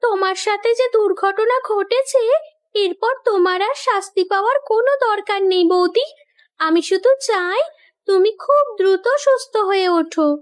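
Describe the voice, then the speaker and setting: A woman voicing a forgiving, cheerful young married daughter in a moral cartoon, with a gentle, reassuring manner. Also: very high-pitched, normal speed. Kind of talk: Bengali moral cartoon voice acting.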